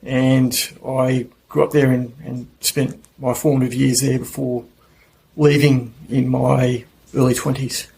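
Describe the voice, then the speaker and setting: A man in his forties with an Australian accent, answering interview questions in a relaxed, measured way. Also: medium pitch, creaky, a little hiss or hum, low-quality recording. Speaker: podcast guest.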